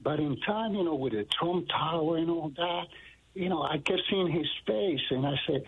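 Older man, husky voice over a tinny phone line. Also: on the high side, moderate pace. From Puerto Rico. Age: 60s. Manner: earnest, rambling storytelling with many fillers. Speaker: caller. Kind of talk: phone-in call.

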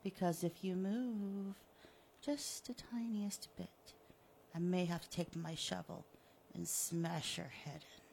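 A woman voicing a sadistic man who taunts his victim in a soft, mocking, drawn-out way. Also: on the low side, steady tone, creaky, a little hiss or hum. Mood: taunting. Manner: mocking, softly menacing, drawn out. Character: gloating sadistic male killer, taunting.

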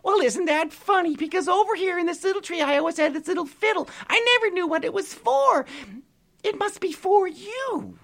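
Brief: a tiny pixie lady, squeaky, singsong, far above the teller's own voice. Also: moderate pace, creaky, fairly bright tone, full character voice. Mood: grateful.

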